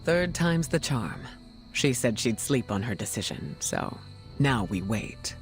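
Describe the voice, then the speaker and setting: A woman's voice: rumbling low, sing-song, measured pace, music in the background, exaggerated voice. A woman playing a composed, dignified and caring mature superhero leader in video game dialogue, speaking graciously. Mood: gracious, warm.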